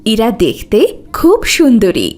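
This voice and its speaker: A woman's voice: fairly high pitch, huge pitch swings, a little slow, a little mellow. A woman narrator reading a scripted cartoon story.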